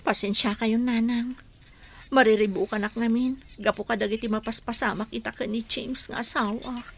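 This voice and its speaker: A woman's voice: on the high side, steady tone, moderate pace, slight background noise, natural voice. A woman acting a worried, burdened wife in a Filipino radio drama, with a Filipino accent. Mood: troubled. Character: worried, burdened wife confiding her marital troubles.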